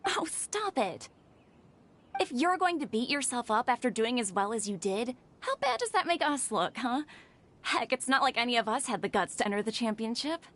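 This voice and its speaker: A woman's voice: a little high, animated, normal speed, a bit bright. A woman playing a supportive, upbeat young student in a video game, speaking in an encouraging, emphatic way. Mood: chiding, friendly.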